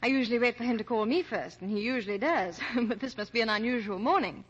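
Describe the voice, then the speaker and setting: An actress playing a refined, emotional young woman in love, breathy-voiced, in a 1940s radio melodrama. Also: fairly high pitch, quick pace. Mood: bright. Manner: emotional, genteel. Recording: dull old 1940s radio recording.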